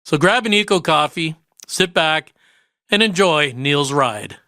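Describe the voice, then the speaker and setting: A man's voice: on the high side, lively intonation, a little slow, sharp tone. A man hosting a podcast, reading a scripted introduction in an upbeat manner. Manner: upbeat, laughs often. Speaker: podcast host. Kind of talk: scripted introduction, then casual chat.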